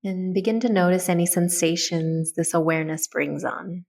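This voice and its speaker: A woman with a slightly low voice leads a guided meditation. She gives soft, soothing instructions in a gentle manner, as a host walking listeners through each step. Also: steady tone, normal speed.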